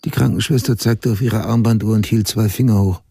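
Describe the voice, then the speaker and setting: An actor playing a writer who soberly narrates his past in a radio drama, with a husky, dark, gravelly voice. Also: on the low side, fairly even intonation, brisk, dry recording, clean studio sound. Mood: sober, reflective. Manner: sober, matter-of-fact. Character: grown male writer soberly recounting his murdered mother's case. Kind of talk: scripted first-person narration, radio drama.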